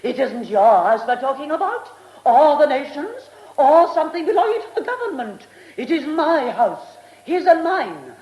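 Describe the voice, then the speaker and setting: A stubborn upper-class English lady of the manor in a radio play. Her voice is high and very animated, full of haughty indignation. It rings in an echoing room. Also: normal speed, hardly any pauses. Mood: indignant. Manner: haughty, cutting, emphatic. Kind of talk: British radio drama acting. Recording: roomy, echoing radio drama studio.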